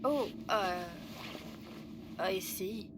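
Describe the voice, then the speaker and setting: An actress playing a meek, reverent religious sister in a video game cutscene, hesitant and deferential. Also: female voice, medium pitch, extremely slow. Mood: flustered. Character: meek, reverent chantry sister, adult woman. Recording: noisy ambience behind the voice.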